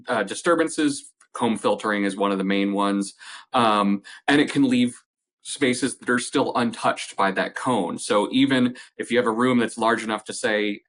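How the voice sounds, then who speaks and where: A man giving a conversational, measured technical explanation as a product expert in an interview, restating points for emphasis. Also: on the low side, fairly bright tone. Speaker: company representative, audio product expert.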